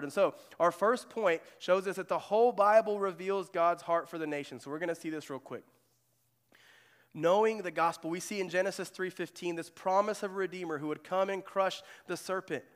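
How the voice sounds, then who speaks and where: A man's voice: high voice, fairly quick, in a large hall. A male pastor preaching in a conversational way, often asking the congregation questions. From Germany. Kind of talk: sermon. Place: church sanctuary.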